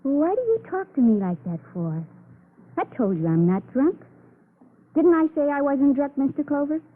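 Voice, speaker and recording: An American actress playing a tipsy, defiant, brittle woman in a 1950s radio crime drama, halting and insistent. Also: sits high, sing-song. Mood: petulant. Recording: narrow, tinny old radio recording.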